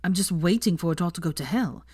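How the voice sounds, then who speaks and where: A woman voicing an anxious young man, speaking low and breathy. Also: quick pace, dark tone, dry recording, clean studio sound. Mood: troubled. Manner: low, subdued, breathy delivery.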